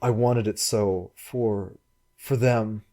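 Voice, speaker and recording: A man voicing a frail, fanatic young woman who talks in a halting, wailing way. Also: on the low side, fairly even intonation, warm tone.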